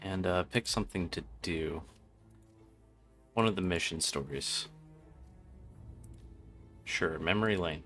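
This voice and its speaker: A man's voice: low voice, slow, long pauses, a bit bright, soft background music. A man giving casual live gaming commentary in a laid-back, joking, sweary way. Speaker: game streamer.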